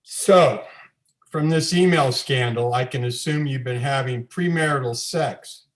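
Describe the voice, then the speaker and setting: A man's voice: medium pitch, unhurried, natural voice. An actor playing a snide, fussy father of sixty, reading comedic dialogue in a table read.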